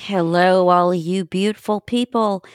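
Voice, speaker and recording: A woman's voice: lowish voice, fairly even intonation, a little slow, over loud music. A woman hosting a casual podcast, chatty and giggly, swearing now and then. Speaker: podcast host.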